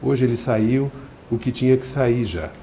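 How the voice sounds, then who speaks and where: A man reading aloud and voicing a relaxed uncle who talks in a breezy, reassuring way. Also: mid-range voice, a little slow, slight background noise, lightly acted. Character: man, an easygoing drinking uncle.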